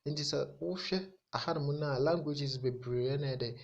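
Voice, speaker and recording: A man from Ghana with a Ghanaian accent and Akan as his native language, giving an informal, halting, repetitive step-by-step tech tutorial that mixes two languages. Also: a little high, vocal fry, highs cut off.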